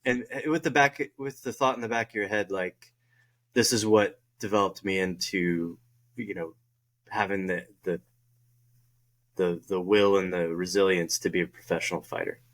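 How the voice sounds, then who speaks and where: Deep-voiced man asking a rambling question. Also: fairly even intonation, a bit bright. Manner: rambling, halting question with many fillers. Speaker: podcast host. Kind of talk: casual interview question.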